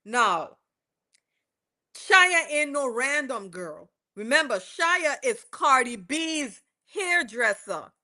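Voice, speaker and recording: A woman's voice: high voice, big pitch swings, slow, bright, forceful tone. A woman with a West Indian accent hosting a livestream, chatting casually and rambling with lots of self-corrections as she recaps court testimony. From West Indies. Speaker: livestream host.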